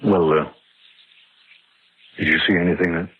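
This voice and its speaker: A man's voice: low-pitched, steady tone, normal speed, slight background noise, lightly acted. An actor playing a defensive, rationalizing husband who tells his story in dramatic radio horror style. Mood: defensive, concerned. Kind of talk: dramatic first-person radio horror narration and dialogue. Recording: muffled old-time radio drama recording.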